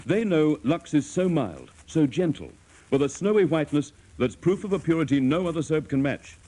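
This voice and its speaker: A man reading a scripted sales pitch in the style of a 1950s radio announcer. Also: very animated, moderate pace, dark tone, poor audio. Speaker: radio announcer, advertising reader.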